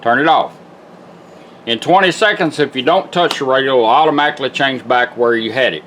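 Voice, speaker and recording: A man's voice: mid-range voice, a little fast, a bit bright, slight background noise, low-quality recording. A man giving a casual, hands-on product demonstration, explaining things step by step in plain, informal words. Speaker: car radio restorer demonstrating a product.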